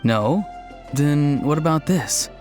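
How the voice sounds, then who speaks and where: A man's voice: fairly high pitch, lively intonation, takes it slowly, over music. A man voicing a mild, friendly young craftsman in a video game, thoughtful and explanatory. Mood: musing.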